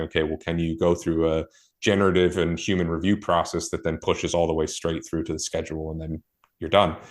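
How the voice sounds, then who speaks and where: Very deep-voiced man explaining at length. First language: not Portuguese. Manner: thoughtful, many fillers and restarts. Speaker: guest, e-commerce marketer. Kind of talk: casual podcast conversation.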